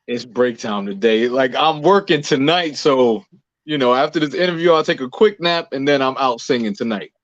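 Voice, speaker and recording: A man's voice: mid-range voice, lively intonation, normal speed, no echo, highs cut off. A man from New Jersey chatting casually as an interview guest, humble and easygoing. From Newark, New Jersey, United States. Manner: humble, easygoing, chuckles readily. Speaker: interview guest, singer and playwright.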